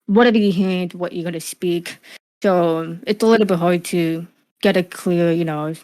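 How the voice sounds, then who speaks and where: A young woman with a slightly low voice speaks in a loose, unhurried way in an interview. A speech impediment from her hearing loss gives her words a distinctive shape. She often restarts her sentences. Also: steady tone, a little mellow, low-quality recording. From Cuban, living in the USA. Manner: frequent fillers and restarts, laughs easily. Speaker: streamer, interview guest. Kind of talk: casual interview answers.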